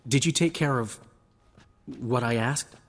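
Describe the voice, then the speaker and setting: An actor playing a hopeful, flamboyant bard in a video game, with a husky voice and a chatty, expressive delivery. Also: male voice, medium pitch, measured pace, in a large hall, close to an everyday voice. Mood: earnest, grateful.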